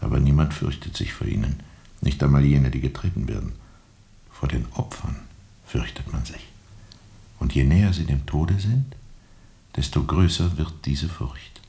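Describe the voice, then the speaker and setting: A brooding riverboat captain, a man haunted by what he remembers. His voice is extremely deep, dark and full of gravel. He delivers his radio drama monologue in a grave, measured way, often dropping close to a whisper. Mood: dark, intense. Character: brooding, haunted steamboat captain recalling a colonial river journey.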